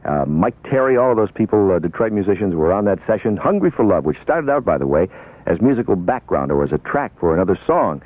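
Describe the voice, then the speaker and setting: Fast-talking raspy radio DJ. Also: male voice, deep voice, sing-song. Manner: chatty, rambling recollections with fillers. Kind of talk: oldies radio patter. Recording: tinny narrow-band radio aircheck.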